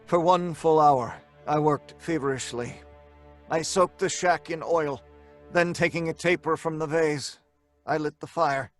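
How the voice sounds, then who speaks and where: An actor playing a tormented, guilt-ridden scientist, earnest and dramatic, in old time radio horror. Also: male voice, fairly high pitch, fairly even intonation, unhurried, soft background music, lightly acted. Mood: tense narrating. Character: tormented, guilt-ridden scientist telling his tragic story.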